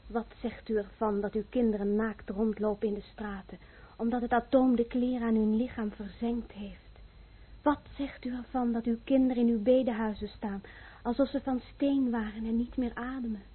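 A grave, very husky woman survivor prophesies doom in a radio play. She declaims solemnly, like an incantation, in a rather high voice on a tinny, muffled old recording. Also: fairly even intonation, normal speed. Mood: grave, pleading.

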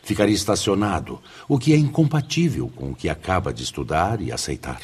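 Deep-voiced, fatherly spirit mentor. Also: lively intonation, darkish tone, slightly rough, heavily acted. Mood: solemn encouragement. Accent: Brazilian. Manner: solemn, measured, paternal, formal. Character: grave fatherly spirit director, calm wise mentor. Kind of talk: Brazilian religious radio drama acting. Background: music.

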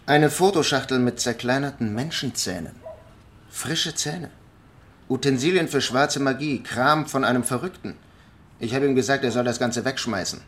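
A man's voice: mid-range voice, normal speed, a bit bright, lightly acted. An actor playing a blunt man who speaks in terse, emphatic phrases in a radio crime drama. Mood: disgusted.

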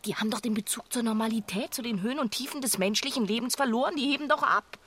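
An actress playing an earnest, agitated, idealistic wife in a naturalistic radio drama, stammering and urgent, often dropping into hushed tones. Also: female voice, a little high, fast talker, slightly rough. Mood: indignant.

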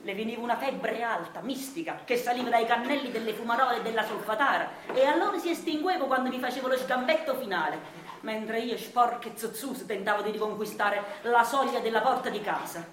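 A woman's voice: on the high side, animated, fairly quick, lightly acted. Woman voicing a henpecked Neapolitan accountant, plaintive, hesitant, confiding, radio drama monologue, roomy sound with background noise. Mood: plaintive, resigned. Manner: plaintive, hesitant, repeating himself, confiding to listeners. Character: meek, battered, long-winded Neapolitan accountant husband.

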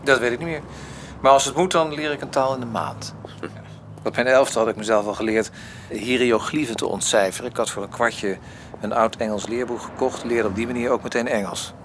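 A man's voice: medium pitch, steady tone, brisk, a bit bright, slight background noise, natural voice. An actor in a radio play voicing a cocky, eccentric young intellectual man with a pompous, theatrical way of talking. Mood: boastful, teasing. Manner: pompous, theatrical, hesitating for effect.

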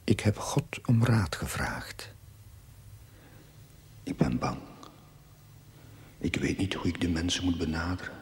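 A low-voiced, brooding, breathy priest. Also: male voice, expressive, exaggerated voice. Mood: anguished, fearful. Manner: brooding, halting, confessional. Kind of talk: 1970s television drama monologue. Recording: noisy old TV soundtrack.

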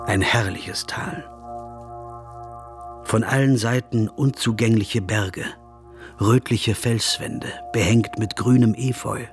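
Narrator role, radio play: reflective traveller, fairly low voice, measured descriptive storytelling, music underneath. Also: male voice. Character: observant, reflective male traveller recounting his journey. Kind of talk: literary radio drama narration.

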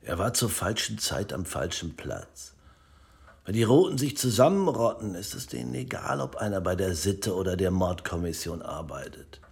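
Generous police buddy, husky gravelly voice, lively swings in pitch, comradely, insistent, radio play. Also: male voice, moderate pace, full character voice. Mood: firm, consoling. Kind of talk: radio drama acting.

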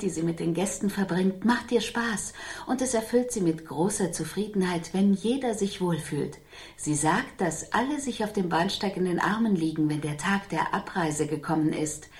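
Low-voiced, composed reporter. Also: female voice, a little mellow. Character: composed female reporter of a tour guide's contented life. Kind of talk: German radio play, scripted report.

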